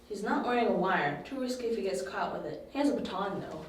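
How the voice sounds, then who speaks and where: A woman playing a calm, patient young confidante who explains things in an amateur audio drama. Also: medium pitch, fast, close to an everyday voice. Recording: distant echoey room, faint noise.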